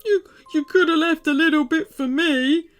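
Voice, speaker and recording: A woman voicing a big, gentle, hungry male troll who wails and draws out his words. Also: high voice, faint music behind, pitched above the performer's own voice, close to an everyday voice. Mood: sobbing. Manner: wailing, drawn-out.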